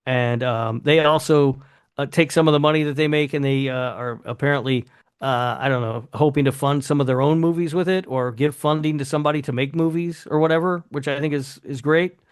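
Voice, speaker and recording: A man's voice: fairly high pitch, fairly even intonation, a little fast, clear, resonant tone, no room sound, clean studio sound. A man hosting a podcast, chatting casually and announcing things in a hesitant, sweary way. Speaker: podcast host presenting awards.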